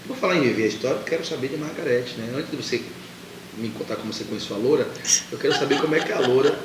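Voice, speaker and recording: A man's voice: medium pitch, racing. A man chatting casually as an interviewer, echoing what guests say. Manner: echoes guests' words, checks with tags. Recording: echoey, distant mic, clear background noise.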